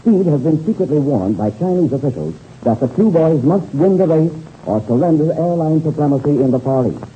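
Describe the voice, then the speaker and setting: Male announcer, 1930s serial narration, declamatory, lively intonation, thin noisy old radio recording. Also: a little high, a little fast, muffled recording. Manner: dramatic, declamatory.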